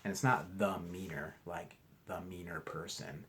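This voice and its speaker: A man reading a storybook aloud to children like a teacher, expressively, pausing to ask questions and explain. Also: low-pitched, fairly even intonation, noisy background. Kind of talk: interactive storybook read-aloud.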